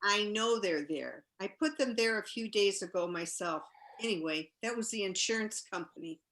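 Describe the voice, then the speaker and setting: Woman as a bright-voiced, long-suffering wife. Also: mid-range voice, moderate pace, natural voice. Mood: brisk, polite. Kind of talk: campy 1940s radio noir acting.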